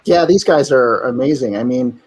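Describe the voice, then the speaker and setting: A man guest on a podcast chatting casually and excitedly about working with the cast. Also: mid-range voice, normal speed. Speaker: voice actor guest.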